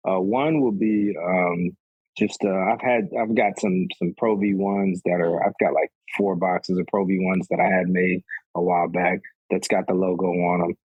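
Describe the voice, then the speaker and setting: Casual podcast guest, man in his 30s, low husky voice, easygoing rambling delivery. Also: normal speed, dry recording, dull audio. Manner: relaxed, rambling, says "man" often. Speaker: podcast guest, golf sneaker reviewer. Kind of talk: casual conversation.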